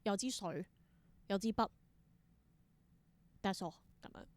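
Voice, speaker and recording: A woman's voice: mid-range voice, takes it slowly, darkish tone. A woman with a Hong Kong Cantonese accent, speaking thoughtfully as an interview guest and mixing in English words. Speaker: interview guest, theatre performer and creator. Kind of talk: reflective interview conversation.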